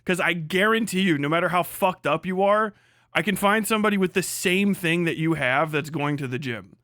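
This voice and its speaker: A man's voice: high-pitched, moderate pace, bright, forceful tone, no room sound, studio quality. A man on a casual livestream rant, blunt and hectoring, swearing heavily as he speaks. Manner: mocks others in put-on voices. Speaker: livestream host.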